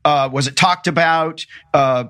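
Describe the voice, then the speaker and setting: American guy hosting a podcast interview, voice a bit on the high side. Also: measured pace, fairly bright tone. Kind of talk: podcast introduction and interview chat.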